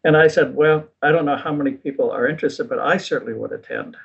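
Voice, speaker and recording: An older man in a casual interview, recounting his experiences in a relaxed, self-deprecating way. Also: on the high side, quick pace, vocal fry, husky. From United States. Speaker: guest. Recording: dull lo-fi recording.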